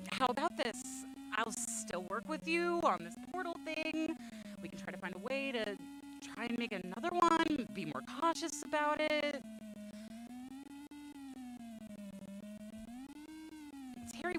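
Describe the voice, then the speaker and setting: Anxious young woman in sci-fi audio drama; high, highly animated voice, stammering and guilt-ridden. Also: noisy background. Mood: worried. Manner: halting, emotional, stammering delivery. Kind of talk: science fiction radio drama acting.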